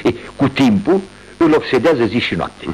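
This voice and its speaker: An earnest, husky-voiced doctor. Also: male voice, medium pitch, expressive, darkish tone. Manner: earnest, inquisitive. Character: Doctor Watson, earnest male doctor companion. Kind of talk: 1980s radio drama acting. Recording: old radio studio recording.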